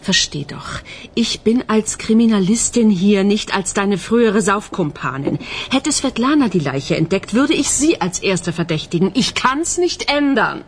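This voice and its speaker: A woman's voice: mid-range voice, animated, measured pace. Dry, unyielding middle-aged female detective, radio crime drama, very varied intonation, light background noise. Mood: firm, cool. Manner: matter-of-fact, wry, firm.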